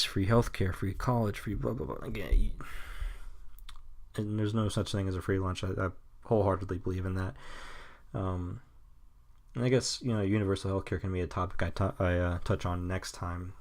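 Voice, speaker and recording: A young man in his mid-twenties with a very low voice talks alone into a podcast mic. He rambles and restarts often, trails off into nervous chuckles, then drops blunt, cynical remarks with casual swearing. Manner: halting, full of restarts and nervous laughs. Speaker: podcast host. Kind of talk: rambling solo podcast monologue.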